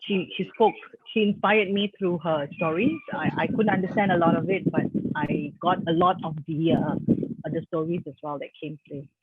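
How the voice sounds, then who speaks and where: Guy on a tinny phone-ish line, husky, a bit high, halting and thankful. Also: steady tone, no echo. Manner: appreciative, halting. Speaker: webinar participant. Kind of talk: brief comment on a call. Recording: tinny phone-like call audio.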